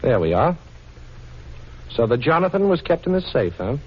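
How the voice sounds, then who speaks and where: Actor as cool wisecracking 1940s radio sleuth, muffled. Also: male voice, medium pitch, sing-song, moderate pace, a little hiss or hum, close to an everyday voice. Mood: cool, commanding. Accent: American. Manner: breezy, teasing, commanding. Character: cool, wisecracking adult male amateur sleuth with a gun. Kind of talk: 1940s radio drama acting.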